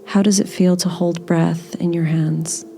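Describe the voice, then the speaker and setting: A woman leading a guided body scan meditation in a gentle, soothing, unhurried manner. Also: on the low side, flat delivery, gravelly, a little hiss or hum.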